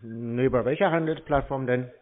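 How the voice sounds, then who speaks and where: A man's voice: medium pitch, moderate pace. A man in a casual phone conversation, answering in a dry, unruffled, needling way. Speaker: scam-baiting call recipient. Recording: narrow, tinny phone-like sound.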